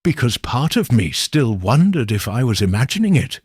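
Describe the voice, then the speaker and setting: A man's voice: medium pitch, very animated, moderate pace, darkish tone, slightly rough, no room sound, clean studio sound. A man voicing a nervous, guarded young woman client, explaining herself hesitantly and earnestly in an audiobook mystery.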